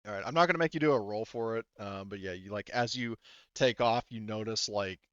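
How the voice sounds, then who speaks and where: A man's voice: lowish voice, fairly quick, a bit bright, clear, resonant tone, no room sound. A man improvising tabletop game narration as game master, speaking casually in rambling, looping phrases over a muffled connection. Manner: rambling, repeats "like" and "you know".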